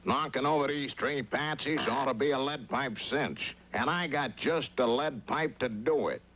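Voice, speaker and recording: A man's voice: medium pitch, measured pace, close to an everyday voice. A man voicing a mean cartoon thug who talks like a menacing tough-guy gangster. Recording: phone-like old cartoon soundtrack.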